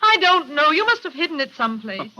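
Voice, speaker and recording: Brief: earnest, stubborn young heroine, piercingly high and tense, insistent, old radio mystery. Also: female voice, big pitch swings, fast, bright, forceful tone, heavily acted. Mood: defiant. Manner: insistent, emphatic. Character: earnest, stubborn, anxious young woman accuser. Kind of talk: vintage radio mystery acting. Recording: dull, noisy vintage radio recording.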